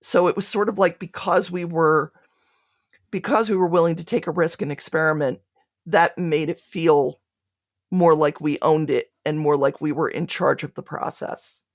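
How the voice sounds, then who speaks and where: A woman's voice: deep voice, steady tone, moderate pace, no room sound, clean studio sound. A woman being interviewed, speaking reflectively and conversationally, often restarting her phrases mid-thought. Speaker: podcast creator being interviewed.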